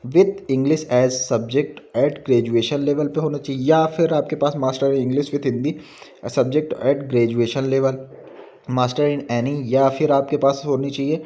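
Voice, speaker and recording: Mellow-voiced Indian man explaining a notice. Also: mid-range voice, fairly even intonation, slow. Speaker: presenter of a job recruitment explainer.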